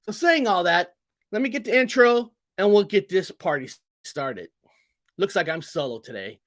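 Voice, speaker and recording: A man hosting a show on his own, rambling and repeating words as he talks straight to viewers, his voice going hoarse as he gets sick. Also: sits high, expressive, normal speed. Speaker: show host. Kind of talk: casual solo podcast monologue.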